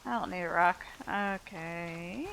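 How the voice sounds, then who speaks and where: A woman's voice: on the low side, slow pace, creaky, a bit bright, a little hiss or hum. A woman giving casual, off-the-cuff commentary as she plays a video game. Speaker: gaming video host. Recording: game music behind the voice. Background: game music.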